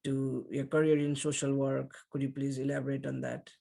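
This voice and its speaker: A man with a Nepali accent hosting a podcast, reading a measured, earnest introduction and then asking interview questions. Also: medium pitch, completely flat delivery, vocal fry, warm tone. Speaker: podcast host.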